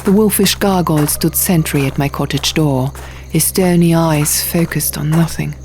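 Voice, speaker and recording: A woman narrating fiction as a scripted, dramatic audiobook, hushed and often near a whisper. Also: deep voice, steady tone, moderate pace, slight background noise. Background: soft music.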